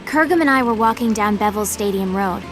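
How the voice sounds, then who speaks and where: A woman's voice: mid-range voice, animated, fairly quick, close to an everyday voice. A woman playing an aloof, wry young character who narrates a game audio drama in a cool, detached way. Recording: music behind the voice.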